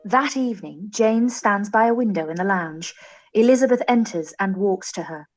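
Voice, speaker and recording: A woman's voice: medium pitch, animated, moderate pace, darkish tone, soft background music, poor audio. A woman reading scripted stage narration for a live-read radio play.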